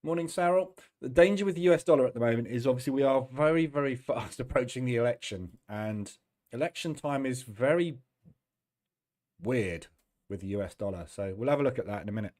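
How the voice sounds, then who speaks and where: Hoarse throaty guy with a cold, chatty, lots of ums, talking into a desk mic. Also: lively intonation, a little fast, darkish tone. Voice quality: deep, throaty. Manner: chatty, greets viewers by name, many fillers. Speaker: trading stream host. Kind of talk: casual live-stream chat.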